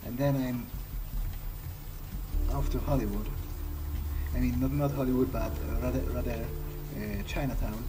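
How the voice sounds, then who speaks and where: Male gamer, mid-pitched, musing in character with halting phrasing, noticeable noise and game atmosphere underneath. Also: fairly even intonation. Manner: hesitant, thinking aloud. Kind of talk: improvised in-character video game roleplay. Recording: clearly noisy, game ambience underneath. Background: video game ambience.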